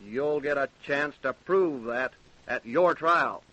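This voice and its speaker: An actor with an American accent playing a blunt, dogged sheriff who questions suspects curtly in an old-time radio crime drama. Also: male voice, mid-range voice, slow, noisy background, close to an everyday voice. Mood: accusing. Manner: curt, pressing questioner. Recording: dull, lo-fi old radio recording.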